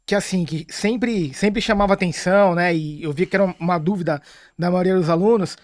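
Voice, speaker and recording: Male guest, high voice, thoughtful, halting restarts, dry close sound. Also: no echo. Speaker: podcast guest, acupuncturist. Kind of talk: casual podcast chat.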